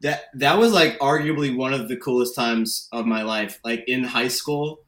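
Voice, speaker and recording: A man in his thirties from Connecticut speaks as a podcast guest. His voice sits at a middle pitch, and he tells personal stories in a relaxed, informal chat style. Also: moderate pace. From Connecticut, United States. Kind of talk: casual chat, personal storytelling.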